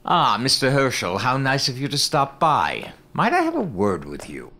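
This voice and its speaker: An officious, scornful man in a radio drama. His voice is bright and forceful, swinging wildly in pitch. He talks with florid, pompous mock courtesy. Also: huge pitch swings, moderate pace, full character voice. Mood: mock-polite.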